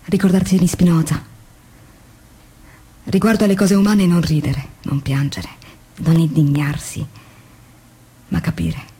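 A woman's voice: fairly low pitch, little pitch movement, measured pace, pauses often, warm tone. A woman giving a dramatic scripted reading for a theatre promo.

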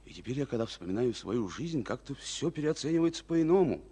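An actor playing a resolute, earnest Soviet army captain in a declamatory, emphatic mid-century radio drama style. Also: male voice, mid-range voice, very animated, a little fast, husky. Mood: pensive, subdued. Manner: declamatory, emphatic, swinging between hushed and ringing.

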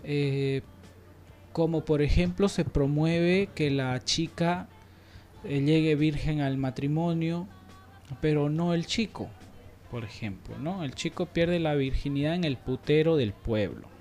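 Young man with a higher-pitched voice. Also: unhurried, a little mellow. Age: young adult. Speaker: radio discussion host. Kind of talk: informal on-air discussion.

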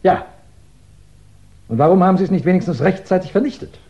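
A man acting a shrewd English police chief in a 1960s German radio crime drama, deliberate and ironically polite, with musing pauses. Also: sits high, expressive, creaky, dark tone, dull audio. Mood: politely probing.

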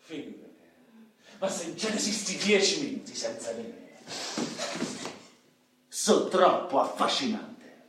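A man's voice: fairly high pitch, sing-song, a little slow, pauses often. An actor playing a cocky, vulgar working-class man with a Roman accent in a radio drama, coarse and boastful. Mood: smug teasing. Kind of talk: radio drama stage dialogue. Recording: roomy echo, distant mic, faint noise.

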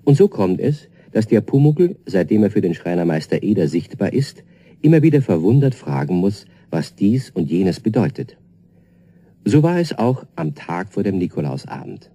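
A man's voice: low-pitched, big pitch swings, moderate pace, mellow, dry recording, exaggerated voice. A man playing the narrator of a children's radio play, telling the story as scripted narration. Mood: explanatory. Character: calm male storyteller introducing a children's tale.

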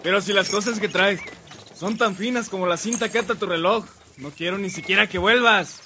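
A man's voice: high voice, a little fast, pushed and bright, slight background noise. An actor playing a sneering, teasing male customer in a radio drama. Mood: mocking.

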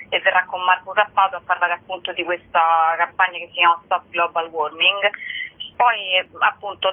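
Female festival organiser, informal radio guest, mid-pitched voice over a narrow telephone line. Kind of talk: informal spoken interview. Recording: tinny, narrow phone line.

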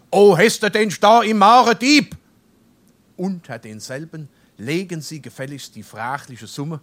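A man's voice: a little high, fairly bright tone. An actor playing an indignant middle-aged householder and father in a stage comedy, speaking Darmstadt dialect in a blustery, exclamatory way. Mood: indignant bluster. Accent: Hessian, Darmstadt dialect.

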